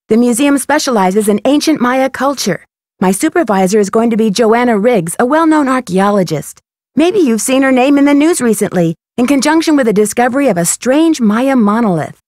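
A woman plays a bright young American girl detective from a mystery adventure game. Her voice is high and crisply articulated, with an earnest, polite, inquisitive manner. The sound is dry and close, like studio game dialogue. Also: no echo, lightly acted. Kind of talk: scripted video game voice acting.

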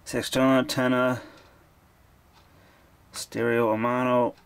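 A man's voice: medium pitch, level tone, measured pace, clean tone. A man giving an informal, offhand hands-on product demo, speaking from behind a handheld camera. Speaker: video creator demonstrating a vintage radio. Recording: background noise, handling clicks.

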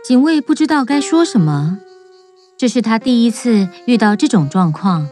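A woman's voice: fairly high pitch, lively intonation, normal speed, a little mellow, faint music behind. A woman storyteller giving scripted narration for a children's tale.

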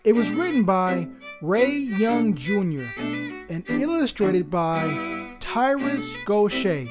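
A woman narrates a children's read-aloud as story time host. Her delivery is expressive, with a lively, wide-ranging pitch. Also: slow, loud music behind the voice.

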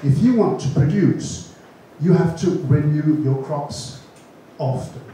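A man's voice: fairly high pitch, takes it slowly, dark tone, recorded from a distance, slight background noise. A middle-aged man with a Danish accent gives an informal seminar talk on a handheld mic to a seated audience, often correcting himself. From Denmark. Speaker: gene bank speaker. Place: large room with seated audience. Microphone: handheld mic, far camera. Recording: echoey room recorded from afar.

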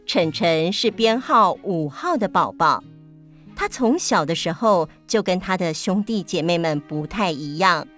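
A woman's voice: fairly low pitch, lively intonation, measured pace, a bit bright, soft background music. A woman narrating a story for children as a storyteller.

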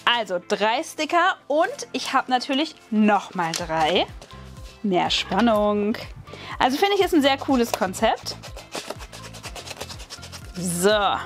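Lively chatty German woman crafting. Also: on the high side, takes it slowly, long pauses, over music. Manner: chatty, thinks aloud with many hums and small laughs. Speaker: crafting video host. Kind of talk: casual unboxing and crafting commentary. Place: indoors at a wooden table. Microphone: overhead camera, hands only.

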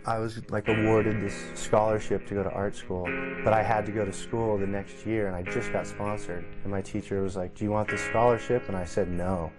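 Low-voiced man reminiscing over loud music. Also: steady tone, a little fast, hardly any pauses, low-quality recording. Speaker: professional skateboarder. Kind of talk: casual interview reminiscence. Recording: loud guitar music under the voice. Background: guitar music.

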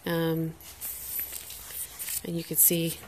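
A woman's voice: low voice, completely flat delivery, extremely slow, a little mellow, slight background noise, low-quality recording. A woman showing a home project on her phone camera in an open garage, talking casually with many ums and restarts. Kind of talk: casual home video walkthrough. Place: open garage and driveway, outdoors. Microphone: phone camera held by the speaker.

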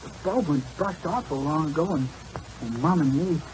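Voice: medium pitch, moderate pace, a little mellow, lightly acted. A performer playing a plucky, trusting poor young boy in a 1940s radio drama. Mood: trusting, eager. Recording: noisy vintage radio recording.

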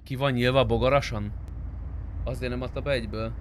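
A man's voice: medium pitch, steady tone. A man leading a group roleplay game, talking casually with his friends. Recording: clear vehicle noise behind voice.

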